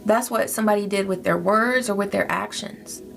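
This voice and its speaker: A woman's voice: medium pitch, moderate pace, creaky, fairly bright tone. A woman giving a spiritual tarot reading, speaking in a soothing, affirming way to her listener. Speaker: tarot reader. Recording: music playing behind.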